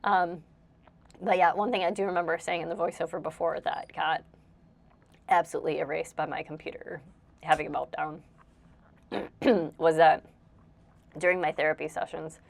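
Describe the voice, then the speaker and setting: A woman's voice: deep voice, fairly even intonation, normal speed, gravelly, long pauses. A young woman, slightly congested, chatting casually and rambling as she narrates her house cleaning with frequent laughs. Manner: rambling, self-deprecating, laughs often. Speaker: cleaning vlogger.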